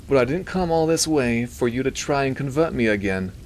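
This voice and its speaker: Man putting on a nasal, higher-pitched, fussy scientist. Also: expressive, fairly quick, a little mellow, a little hiss or hum, close to an everyday voice. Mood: dry. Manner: affected, faintly British, weary. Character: fussy, disinterested male scientist with British pretensions. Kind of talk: audiobook character dialogue.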